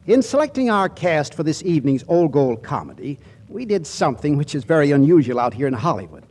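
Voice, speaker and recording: A man's voice: on the high side, big pitch swings, fairly quick, a little mellow. A man hosting a vintage 1940s radio broadcast, reading a droll, theatrical scripted introduction. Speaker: host and narrator.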